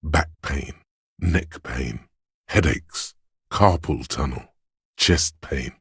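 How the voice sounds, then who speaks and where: A man voicing a grim, goading inner voice of pain and endurance in a video game, narrating with slow, weighty, intense delivery and a husky, gravelly tone. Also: rumbling low, no room sound, studio quality, exaggerated voice. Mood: grim, brooding.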